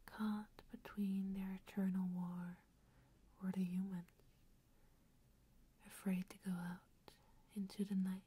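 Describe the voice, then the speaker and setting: A woman performing a solemn, mysterious storyteller in a whispered, breathy ASMR roleplay introduction, with hushed, portentous phrasing. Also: mid-range voice, little pitch movement, slow pace, long pauses. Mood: hushed, ominous. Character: solemn, mysterious female storyteller introducing a dark vampire world.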